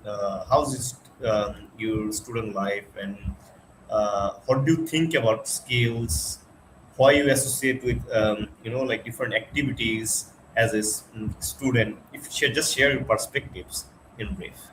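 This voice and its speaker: Bangladeshi guy hosting a webinar, lots of uhs, slow, faint room noise. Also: lowish voice, fairly even intonation, fairly bright tone, poor audio. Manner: slow, with frequent uh fillers. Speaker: webinar host. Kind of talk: hosting an online interview.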